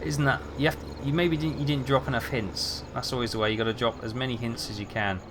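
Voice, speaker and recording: A man's voice: medium pitch, fast talker. Chatty male game streamer over background hum. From England. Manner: relaxed, chatty, answers chat while playing. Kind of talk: casual gameplay commentary, chatting with viewers.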